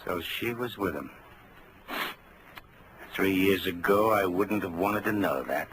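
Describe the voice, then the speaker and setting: An actor playing a resigned, bitter ex-convict in a terse 1940s radio style. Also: male voice, low-pitched, slow pace, fairly bright tone. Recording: slightly noisy vintage radio recording.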